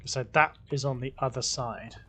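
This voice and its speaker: A man's voice: medium pitch, moderate pace. Casual man narrating his gameplay. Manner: relaxed, talks to himself while building. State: slightly croaky voice. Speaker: gaming video host.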